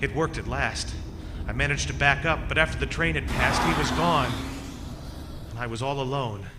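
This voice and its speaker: A man's voice: mid-range voice, normal speed, noisy background, natural voice. A man playing a haunted, anxious motorist, dramatic and earnest in old-time radio theatre style. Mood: haunted, brooding. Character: haunted, anxious male motorist telling his frightening tale. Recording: long reverb, music underneath.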